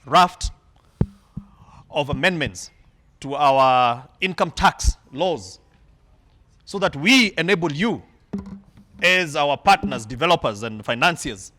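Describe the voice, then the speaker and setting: A man delivers a keynote from the podium, his voice sitting a little high for a man. He speaks in measured, emphatic phrases and leaves pauses between them, heard through a podium mic in a large hall. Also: animated, bright, forceful tone, slight background noise. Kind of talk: formal keynote address.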